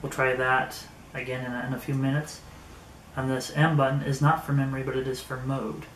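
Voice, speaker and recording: A man talks through a product demonstration in a casual, unscripted way. His delivery is flat and a little halting as he thinks aloud. The room around him is echoey, with faint noise underneath. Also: mid-range voice. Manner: halting, thinking aloud while handling items. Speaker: product reviewer. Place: indoor room.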